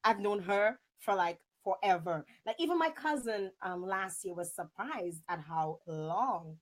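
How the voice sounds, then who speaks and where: Woman, thirties, mid-range voice, chatty talk show host, expressive, video call. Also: mid-range voice, unhurried. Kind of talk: casual chat interview. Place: indoor room on a video call.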